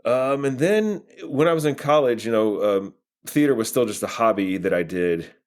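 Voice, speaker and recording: A man's voice: mid-range voice, animated, normal speed. A man in his forties talking casually and reflectively as an interview guest, with a modest, self-deprecating manner. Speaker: podcast guest, playwright and actor.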